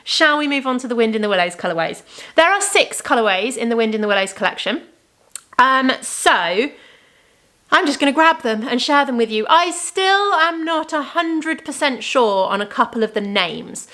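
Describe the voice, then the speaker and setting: Chatty woman, voice going slightly hoarse. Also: high voice, big pitch swings, moderate pace, sharp tone. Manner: chatty, enthusiastic, rambling. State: slightly losing her voice. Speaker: crafting podcast host and yarn dyer. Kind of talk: casual show-and-tell chat.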